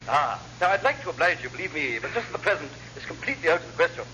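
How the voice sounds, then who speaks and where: An actor playing a cheerfully apologetic, blithely incompetent naval lieutenant who rambles and repeats himself in an old radio sitcom. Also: male voice, fairly high pitch, sing-song, racing, pushed and bright. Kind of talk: classic radio sitcom acting. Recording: noisy old radio comedy recording.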